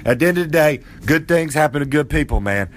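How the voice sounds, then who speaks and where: A man's voice: on the high side, lively intonation, fast, a little hiss or hum, natural voice. A man voicing a boastful, folksy old male wrestler character with a drawl who rambles and preaches. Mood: overjoyed. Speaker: podcast character segment.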